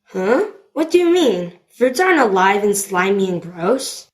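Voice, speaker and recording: A woman playing an excitable, squeamish young kid with an expressive manner in an amateur audio drama. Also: medium pitch, a little slow. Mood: animated.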